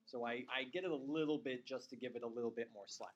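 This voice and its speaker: A man's voice: medium pitch, quick pace, lo-fi sound. Chatty man giving a public talk. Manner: chatty, laughs often, repeats words when starting. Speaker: guest speaker. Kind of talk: public talk with casual chat before.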